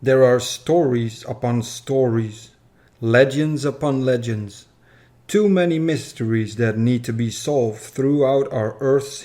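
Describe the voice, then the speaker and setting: A man with a medium-pitched voice recites a story in verse. He reads slowly and formally, in the measured style of scripted narration. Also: dark tone. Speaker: reader of a poem.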